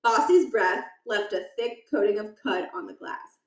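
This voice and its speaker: A woman narrating a children's book aloud in an expressive storytelling style. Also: medium pitch, normal speed, roomy sound.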